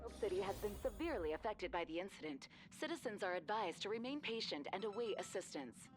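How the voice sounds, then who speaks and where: A woman's voice: mid-range voice, expressive, fast talker, over music, natural voice. A woman playing a formal news announcer reading a scripted bulletin in a video game.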